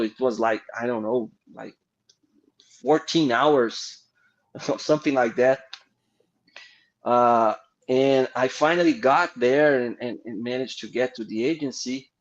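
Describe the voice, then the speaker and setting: A middle-aged man from Brazil with a Brazilian accent, a guest artist telling animated, laughing anecdotes in an interview. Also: medium pitch, dull audio. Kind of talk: casual storytelling interview.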